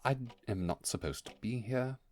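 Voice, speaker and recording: A man's voice: fairly low pitch, normal speed, darkish tone, slight background noise, lightly acted. A man playing an ancient, bookish creature in a roleplay audio, wordy and pompous but awkwardly hesitant and eager to impress. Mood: flustered, musing. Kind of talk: immersive roleplay audio monologue.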